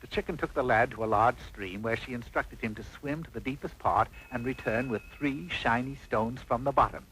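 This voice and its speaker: A man's voice: mid-range voice, fairly quick, few pauses, fairly bright tone, a little hiss or hum, close to an everyday voice, low-quality recording. A man playing an arch, theatrical storybook narrator, reading a comic fairy tale on an old cartoon soundtrack. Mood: wry, brisk. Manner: arch, dramatic.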